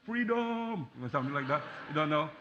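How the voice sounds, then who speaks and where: A man in his forties from the Philippines with a Filipino accent, preaching a sermon as a guest pastor in a church, animated and joking with frequent fillers and restarts. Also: fairly high pitch, a little fast, lo-fi sound, slight background noise. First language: Taglish. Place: church sanctuary.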